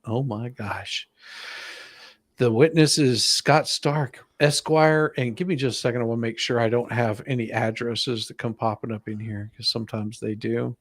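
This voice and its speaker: A man's voice: mid-range voice, steady tone, normal speed, dry recording. A man hosting a stream in casual, jovial, chatty talk. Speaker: stream host. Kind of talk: casual chat.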